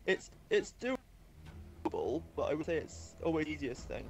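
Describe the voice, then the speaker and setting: A man's voice: on the high side, very animated, creaky. Man explaining over game chat. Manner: explanatory, quick callouts. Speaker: gaming group player.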